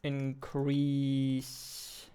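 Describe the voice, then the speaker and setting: Smooth-voiced young man thinking aloud. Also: mid-range voice, monotone, extremely slow, mellow. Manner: thinking aloud, self-deprecating, chuckling. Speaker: game developer streaming his work. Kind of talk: casual live-stream chat while coding. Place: home room at a desk.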